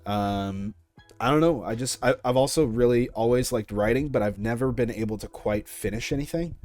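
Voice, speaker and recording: A man's voice: mid-range voice, normal speed, a little mellow. A young man answering questions about his creative work in a casual interview, as a content creator. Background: music.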